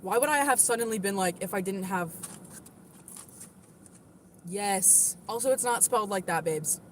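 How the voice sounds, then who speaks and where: A woman's voice: moderate pace, a little hiss or hum. Chatty, defensive woman streamer. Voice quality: moderately deep voice. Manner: chatty and defensive, with frequent "like" and "literally". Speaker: livestreamer. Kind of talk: casual livestream chat, answering viewer comments.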